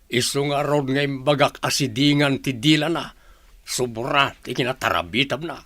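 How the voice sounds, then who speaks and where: A man's voice: mid-range voice, moderate pace, fairly bright tone, lightly acted. An actor playing a blunt, protective older father in an Ilocano radio drama. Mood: stern.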